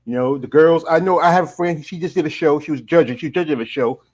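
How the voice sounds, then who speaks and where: A man's voice: fairly high pitch, fast, darkish tone. Rambling man hosting a live interview. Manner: rambling, with many "you know" fillers. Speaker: host. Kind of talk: casual live-stream interview.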